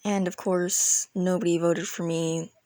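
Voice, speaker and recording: A woman's voice: fairly low pitch, little pitch movement, unhurried, dark tone, dry recording, clean studio sound. A woman telling a casual, rambling storytime in informal, youthful slang. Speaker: storytelling video creator. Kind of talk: casual storytime about an online roleplay game.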